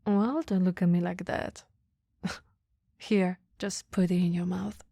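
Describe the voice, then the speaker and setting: A woman playing a caring, flirty, easily flustered boss in a soft, intimate ASMR roleplay, often whispering, gently teasing and a little hesitant. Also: fairly low pitch, fairly even intonation, slow, no room sound, clean studio sound, exaggerated voice. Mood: flustered, playful. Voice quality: breathy, soft. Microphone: close microphone, dry.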